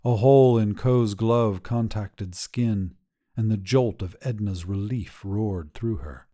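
A man narrating scripted literary fiction in a measured, dramatic storytelling manner. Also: lowish voice, warm tone. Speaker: narrator reading short fiction.

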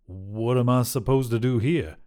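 A man's voice: mid-range voice, normal speed, darkish tone, natural voice. A man voicing a young drifter, dazed and haunted, who speaks in a hesitant, stammering way.

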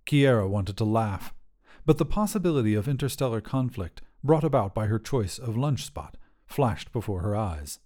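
A man's voice: lowish voice, expressive, moderate pace, warm tone. A man reading a scripted short story as a podcast narrator.

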